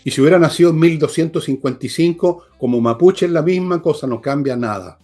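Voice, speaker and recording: A man's voice: on the high side, fairly quick, mellow, faint music behind. Scornful Chilean man giving blunt political commentary. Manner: emphatic, scornful, blunt with coarse slang. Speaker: political commentator and show host.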